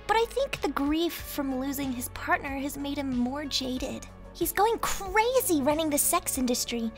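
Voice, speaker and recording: A woman's voice: high-pitched, a bit bright. A woman playing an aggrieved female social media influencer in a cartoon audio drama.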